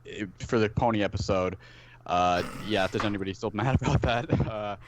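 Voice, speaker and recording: A man with a low-pitched voice talks casually as a guest on a podcast. He rambles in a hesitant, self-deprecating way. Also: fairly quick, noisy background. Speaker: podcast guest, web series co-creator and voice actor. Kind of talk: casual chat.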